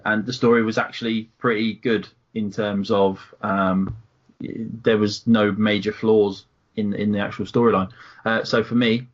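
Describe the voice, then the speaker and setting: British male panelist, hesitant, low-pitched, lo-fi. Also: fairly bright tone. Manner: hesitant, lots of ums. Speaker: panel regular. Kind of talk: casual opinion chat. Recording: dull lo-fi audio.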